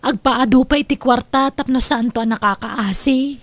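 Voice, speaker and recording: A woman playing a blunt, high-voiced schemer. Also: moderate pace, dry recording. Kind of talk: radio drama acting.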